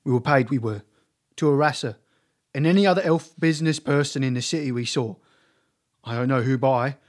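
A talkative, cowardly male street thug from an audio drama, acted by a man. His voice is medium in pitch. He is slangy and nervous, blurting things out in clipped bursts. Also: brisk, lightly acted. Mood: defensive, rattled.

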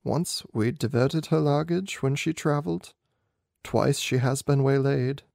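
A man's voice: mid-range voice, a little slow, vocal fry, a little mellow, no echo, studio quality. A man voicing an anxious, proud young king in a storybook reading, solemn and emphatic. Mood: regretful. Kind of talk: amateur audiobook character reading.

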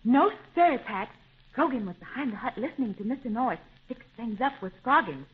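High-pitched girlish adventurer, old radio. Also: lively intonation, brisk, husky. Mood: earnest. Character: Jean, earnest, plucky young female adventurer. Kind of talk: 1930s radio adventure serial acting. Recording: thin, phone-like 1930s radio recording.

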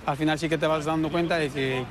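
A man's voice: a little high, steady tone, fast. A man in his thirties from Ecuador, a soldier answering interview questions. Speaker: soldier, tank driver. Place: outdoors at a military base beside tanks. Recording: loud outdoor vehicle and ambience noise.

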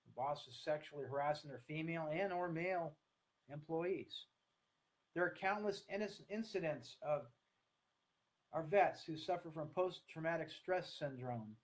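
An American man in his sixties speaks earnestly in a slightly high voice for a man. He is giving an online talk from a room at home, partly reading, and his delivery is halting and deliberate. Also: low-quality recording. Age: 60s. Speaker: speaker, coach. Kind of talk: online talk to camera, partly read from notes. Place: small room at home, indoors. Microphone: webcam or laptop at desk distance.

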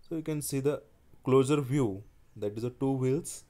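A man giving a slide-based technical lecture, speaking haltingly with frequent restarts and fillers. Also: mid-range voice, mellow. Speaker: engineering lecturer.

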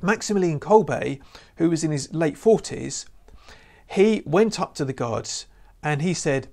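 A man's voice: a little high, lively intonation, measured pace, vocal fry, mellow. A man preaching a recorded sermon to camera in an earnest, conversational way, often saying "you know.". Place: indoor room with lamp and church banner. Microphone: lapel mic.

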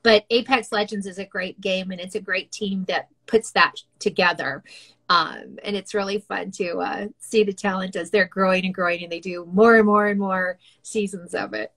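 A woman's voice: mid-range voice, fairly even intonation, moderate pace, a bit bright. A middle-aged woman who casts and does voices, chatting casually in an interview, laughing often between fillers. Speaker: voice casting director and voice actor.

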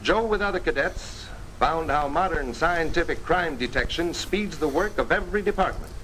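A man reading scripted 1950s training film narration in a formal, earnest, measured storytelling manner. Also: a little high, expressive, fairly bright tone. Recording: noisy 1950s film soundtrack.

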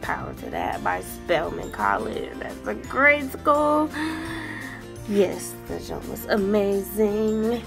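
Young woman, casual phone vlog, lively sing-song delivery with some vocal fry, unhurried, music under her. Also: high-pitched. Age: young adult. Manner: chatty, animated, slangy. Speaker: theater student vlogger. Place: hotel room and festival venues. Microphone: phone held at arm's length.

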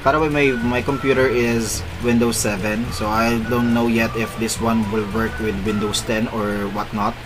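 A man's voice: mid-range voice, little pitch movement, normal speed, hardly any pauses, over music, low-quality recording. A man from the Philippines, a non-native English speaker, casually walking viewers through a phone tutorial on his computer, with frequent fillers and self-corrections. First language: Filipino. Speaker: tech tutorial host. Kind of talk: screen-recorded tech walkthrough.

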